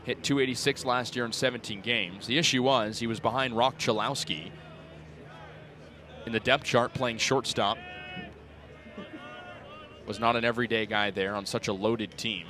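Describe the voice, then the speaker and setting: A man in his twenties calling baseball play-by-play for radio in crisp, clipped phrases that lift on the action. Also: medium pitch, steady tone, normal speed, pauses often, pushed and bright, slight background noise. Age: 20s. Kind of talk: live radio sports commentary. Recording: outdoor ballpark crowd behind voice. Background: ballpark crowd.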